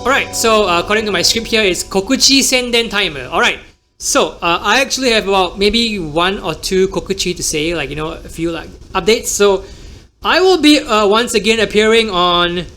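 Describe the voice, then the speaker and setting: A man's voice: sits high, lively intonation, moderate pace, pushed and bright, faint music behind. A young Singaporean man with a Singaporean accent, hosting a casual solo podcast and rambling with many fillers. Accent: Singaporean with American-leaning English. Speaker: podcast host.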